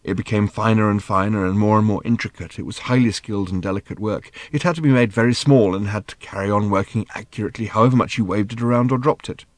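A man reading a storybook as a bewildered, well-meaning middle-aged father who explains things in a halting, fumbling way. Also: on the low side, no echo, lightly acted. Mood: patient.

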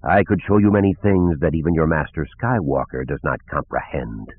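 A man voicing a proud, shadowy dark lord spirit who tempts and menaces in a slow, booming, deliberate way, in an audiobook. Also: animated, no echo. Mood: seductive, menacing. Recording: narrow phone-like band.

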